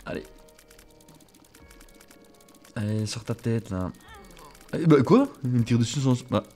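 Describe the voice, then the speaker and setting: A man's voice: medium pitch, animated, moderate pace, a little mellow, faint music behind. A French-speaking man casually commenting on his own gameplay, chatty and self-mocking, swearing under his breath. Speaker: gaming streamer. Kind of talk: casual let's play commentary.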